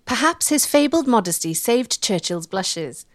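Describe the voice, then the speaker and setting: A woman's voice: a little high, very animated, a little slow, no echo. Dry, close studio woman narrator. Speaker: documentary narrator. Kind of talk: scripted narration.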